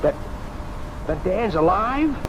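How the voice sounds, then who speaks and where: An actor playing a nervous, evasive American office rival in a radio thriller, stammering and apologetic. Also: male voice, sits high, sing-song, slow pace, background noise, heavily acted. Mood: defensive, nervous. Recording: muffled old radio recording, music behind.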